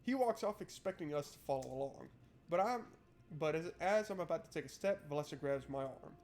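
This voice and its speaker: A man's voice: on the high side, lively intonation, brisk, darkish tone, a little hiss or hum. A man doing a playful, wry let's play, switching between reading game text aloud and joking commentary. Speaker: gaming streamer.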